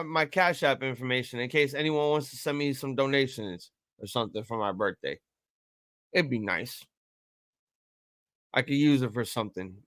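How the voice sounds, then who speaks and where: A man in his thirties hosting a live-reaction stream, chatting casually and rambling with profanity and sudden loud excited outbursts. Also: mid-range voice, no echo, clean studio sound. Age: 30s. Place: home room at a table.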